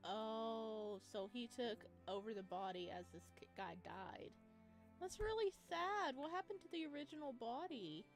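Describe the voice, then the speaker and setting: Expressive woman doing casual game commentary. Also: on the high side, measured pace. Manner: expressive, reactive, informal. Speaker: gaming video host. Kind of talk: casual let's play commentary. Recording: music behind the voice. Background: game music.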